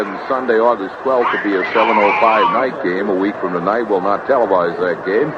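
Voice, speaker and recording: A man calling a baseball game as a play-by-play announcer on live radio. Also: mid-range voice. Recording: noisy dull vintage radio broadcast. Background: constant loud ballpark background noise.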